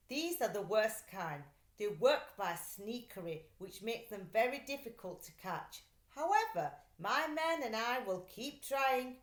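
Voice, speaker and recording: A woman voicing a storybook father who calmly reads the newspaper aloud in a declarative, newsy manner. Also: fairly high pitch. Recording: echoey room.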